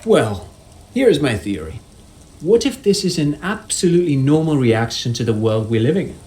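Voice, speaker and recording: An actor playing an earnest, articulate police consultant who muses in an academic way, in video game dialogue with music behind him. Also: male voice, medium pitch, big pitch swings, moderate pace, a little mellow, close to an everyday voice. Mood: fascinated. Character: earnest, intellectual male police consultant given to theorizing.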